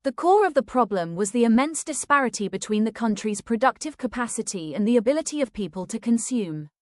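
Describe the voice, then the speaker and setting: A woman's voice: fairly high pitch, animated, brisk, no room sound, clean studio sound. A woman reading scripted passages aloud as narration. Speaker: reader of practice passages.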